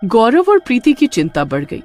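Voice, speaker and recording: A woman's voice: fairly high pitch, huge pitch swings, normal speed, darkish tone, soft background music. A woman reading a scripted story as narrator, in a dramatic storytelling manner.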